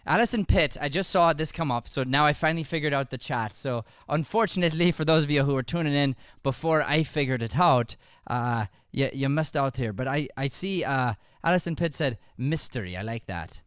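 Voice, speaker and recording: A man hosts a live comedy show in a put-on Irish accent. His voice sits a little high and is very clean and smooth. He chats casually to the audience, close on the microphone in a dry space. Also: lively intonation, brisk, hardly any pauses, a bit bright, no echo. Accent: put-on stage Irish. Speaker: improv show host. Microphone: close podcast microphone.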